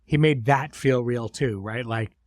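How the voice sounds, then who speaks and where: A man's voice: mid-range voice, unhurried, a little mellow, no echo. Middle-aged man hosting, dry close sound. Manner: effusive, informal praise. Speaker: host and series creator. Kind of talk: conversational podcast discussion.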